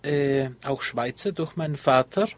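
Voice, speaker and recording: A man's voice: medium pitch, a little slow. A man in his fifties from Ecuador, answering interview questions in a hesitant way with many filler sounds. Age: 50s. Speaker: guest, photographer.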